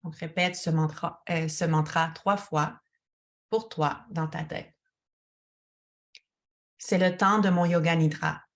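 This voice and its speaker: Female guided-meditation voice, lowish register, very unhurried delivery, calm and instructional. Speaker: yoga teacher guiding a relaxation. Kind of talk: guided meditation, addressing one listener.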